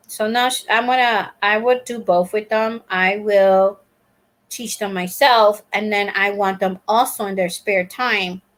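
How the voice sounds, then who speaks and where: A woman's voice: mid-range voice, fairly bright tone. An older woman from Puerto Rico, the host of a crochet channel, chatting casually on a live stream in a rambling way with many fillers and restarts.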